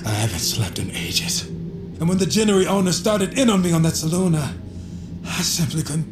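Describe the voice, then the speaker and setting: A man's voice: fairly high pitch, big pitch swings, moderate pace. An actor playing a tormented, weary man, a doctor with grave formal diction, in an audio drama. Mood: anguished. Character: tormented, weary doctor haunted by a demanding spirit. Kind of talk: dramatic audio fiction acting. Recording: loud music behind the voice.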